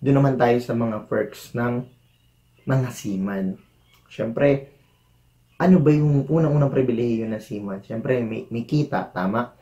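A man's voice: mid-range voice, a little slow, long pauses, mellow, dull audio. A man in his thirties with a Filipino accent, giving encouraging career advice to the camera while seated at a desk in a small room. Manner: earnest, encouraging, gestures with hands while talking. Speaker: vlogger, former cruise ship chef. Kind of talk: casual advice vlog to camera. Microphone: camera in front at desk distance.

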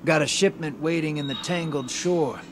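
An actor voicing a shady, streetwise rogue and survivor in a video game, with slangy, conspiratorial swagger. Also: male voice, fairly high pitch, normal speed, close to an everyday voice. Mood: sly, persuasive. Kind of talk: video game character dialogue.

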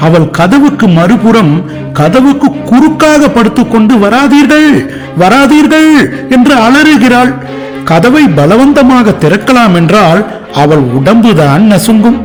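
A man's voice: very high voice, expressive, unhurried, faint music behind. A man playing an eager, outspoken young Italian in a radio play, recounting events with agitation. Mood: distressed. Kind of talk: radio drama acting.

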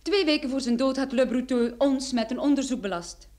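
An actress playing a clever, self-assured private detective in a radio play, pert and precise. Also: female voice, high voice, brisk, darkish tone, close to an everyday voice. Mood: poised, wry. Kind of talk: old radio play acting.

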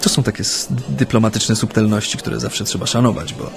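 An actor in a radio play playing a former union activist who recounts his memories in a hesitant, halting way. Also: fairly low pitch, fairly even intonation, gravelly, a crowd in the background. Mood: reflective, wry. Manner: hesitant, halting, frequent drawn-out hums. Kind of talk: radio play acting, interview-like recollection.

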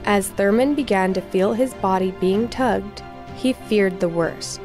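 A woman reading a children's storybook aloud in scripted narration, expressive and clearly phrased for young listeners. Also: mid-range voice, unhurried, music in the background.

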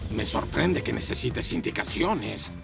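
A brisk grown-up motorcycle camp leader in a cartoon. The voice is very deep for a woman and heavily creaky, with animated, emphatic delivery. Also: music in the background, exaggerated voice. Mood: apologetic, matter-of-fact. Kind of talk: cartoon voice acting.

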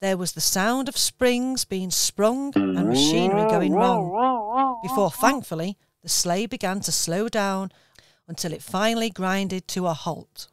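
Woman narrating a children's story, smooth voice. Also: mid-range voice, expressive, dry recording. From England. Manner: gentle, measured storytelling.

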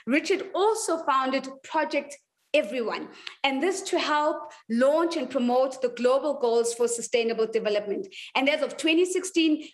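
High-pitched young woman hosting an event. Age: young adult. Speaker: event host. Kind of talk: presenting an online conference. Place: indoors against a plain wall.

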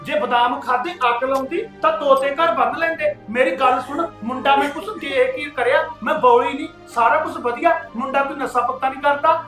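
Woman as a loud, blunt, scolding aunt, high-pitched, soft music bed. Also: a little fast, echoey room. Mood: stern. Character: bossy scolding aunt marrying off niece. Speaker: actor in a family skit.

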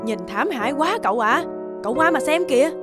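A woman's voice: high voice, expressive, a little fast. A woman playing a worried, practical female farm worker in a cartoon, fretful and plain-spoken. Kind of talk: animated cartoon dialogue. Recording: loud background music under speech.

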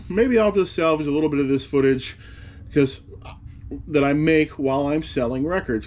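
A man's voice: fairly high pitch, a little mellow. A man in his forties, a reseller vlogger, talking to the camera from behind a desk in a cluttered room, with a wry, rambling manner. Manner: chatty and wry, rambling asides. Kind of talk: casual talk to camera. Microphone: camera in front of him at the desk.

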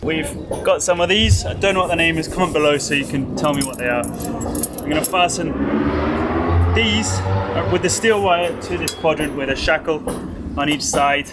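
A man's voice: on the high side, moderate pace, long pauses. A young man casually explaining boat restoration work step by step as he works in a boatyard and a wooden wheelhouse. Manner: relaxed, explains step by step while working. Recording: guitar music behind.

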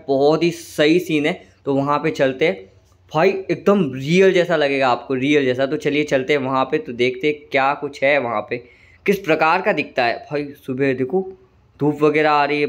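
Gaming host, male, somewhat high voice, a little hoarse, casual buddy-style play-by-play. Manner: chatty, keeps calling viewers "brother". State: slightly hoarse throat. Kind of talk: casual gameplay commentary.

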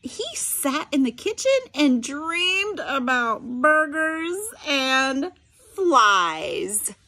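A woman's voice: sits high, sing-song, drawn-out words, pushed and bright. A middle-aged woman reading a picture book aloud expressively, with instructive asides spoken directly to students. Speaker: special education teacher reading aloud.

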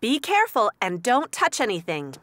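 Bright, animated young cartoon mechanic. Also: female voice, on the high side, moderate pace, no room sound. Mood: instructing. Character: friendly, brisk young female mechanic, gently strict. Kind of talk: animated children's cartoon dub.

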